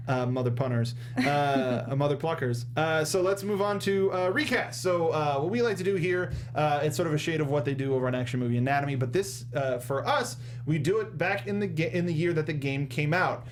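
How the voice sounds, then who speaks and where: A young man co-hosting a casual movie discussion, speaking in a halting, emphatic way. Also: fairly high pitch. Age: young adult. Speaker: podcast co-host. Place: small indoor talk-show studio. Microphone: studio microphone with red windscreen, headset monitoring. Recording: clear background noise.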